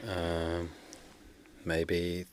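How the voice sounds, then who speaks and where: A man in his thirties from Algeria, a guest speaking simple, broken English in short, soft answers, heard from a distance. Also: low-pitched, monotone, drawn-out words, clear, resonant tone. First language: not English. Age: 30s.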